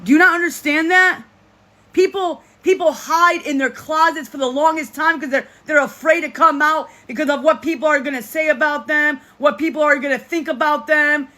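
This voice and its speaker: A vlogger in their thirties talks straight to camera in a casual monologue. They say they have a deep voice, and they speak emphatically, often repeating and restarting phrases. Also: fairly even intonation, moderate pace, bright, forceful tone. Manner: speaks in a self-described deep voice.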